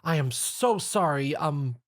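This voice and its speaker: A man voicing an earnest, bookish young mare who is quick to get flustered. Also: a little high, expressive, pitched above the performer's own voice.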